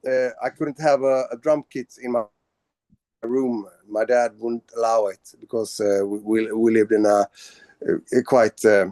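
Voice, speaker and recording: A man's voice: mid-range voice, level tone, a little slow, darkish tone, no room sound. A man from Sweden, a band's singer, answering interview questions casually, with many fillers and restarts. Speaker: band vocalist.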